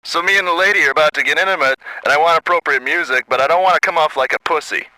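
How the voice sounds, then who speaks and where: A man's voice: a little high, racing, sharp tone, no echo, low-quality recording. An actor playing a griping man calling in to a metal radio station. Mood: eager. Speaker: radio caller. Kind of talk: radio call-in, acted.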